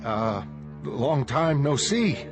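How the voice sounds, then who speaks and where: An actor playing a mild, middle-aged retired costumed hero in an animated comic, speaking in a hesitant, uneasy manner. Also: male voice, mid-range voice, slow pace. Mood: uneasy, surprised. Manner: hesitant, surprised. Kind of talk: motion-comic dialogue. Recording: music under the voice. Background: music.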